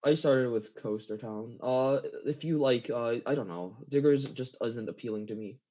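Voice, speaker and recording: A man in a casual gaming chat, speaking haltingly as a guest, repeating phrases and often giggly. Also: medium pitch, mellow. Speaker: interview guest, game map builder. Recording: dull lo-fi voice chat.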